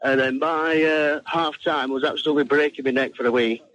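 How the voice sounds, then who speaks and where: A man's voice: fairly high pitch, steady tone, brisk, fairly bright tone, highs cut off. A British man calling a radio show, casually telling an anecdote. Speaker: phone-in caller.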